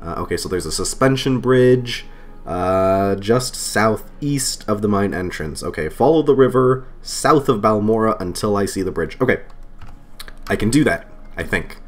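A man with a slightly lowered voice, still getting over a cold, talks through his game into a desk mic. He rambles casually, thinking aloud and poking fun at himself. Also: animated, moderate pace. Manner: chatty, self-deprecating, thinks aloud with fillers. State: recovering from a cold. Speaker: let's play host. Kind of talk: casual gameplay commentary. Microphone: desk microphone.

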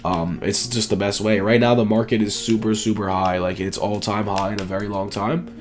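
Raspy young man, sick with flu. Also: fairly low pitch, steady tone, a little fast, soft background music. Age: 20s. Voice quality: raspy, dry voice. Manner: slangy, informal, repetitive emphasis. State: sick with flu, sore throat, coughing. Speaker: gaming YouTuber, host. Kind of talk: casual gaming video commentary.

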